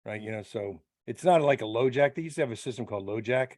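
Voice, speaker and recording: A man's voice: mid-range voice, lively intonation, quick pace, darkish tone, dry recording. Dry-sounding man chatting informally. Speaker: club member on a group call. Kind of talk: casual chat.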